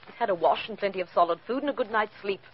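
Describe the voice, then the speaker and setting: A woman playing a crime boss's brisk, callous accomplice, flippant and eager, in 1940s radio style. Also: medium pitch, lightly acted. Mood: cheerfully callous. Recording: dull, noisy 1940s radio recording.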